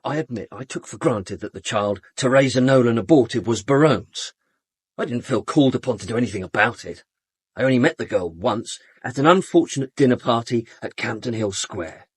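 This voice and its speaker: A supercilious British man in a radio murder mystery. He is cool and sardonic, with clipped, disdainful phrasing. His medium-pitched voice has a husky grain and sounds dry and close. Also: lively intonation, a little fast, no room sound. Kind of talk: British radio drama acting.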